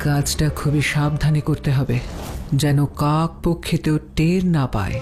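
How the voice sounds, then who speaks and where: Slow, scheming jealous queen. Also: sits low, steady tone, over music. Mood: conspiratorial. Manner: deliberate, conspiratorial, commanding. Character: jealous, scheming elder queen, cold and threatening. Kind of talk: Bengali fairy-tale audio drama acting.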